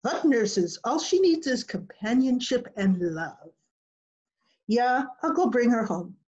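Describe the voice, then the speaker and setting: Woman acting out matter-of-fact cheerful vet, old-time radio comedy, lively pitch, slightly muffled video-call sound. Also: slow. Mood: reassuring. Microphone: video call computer mic.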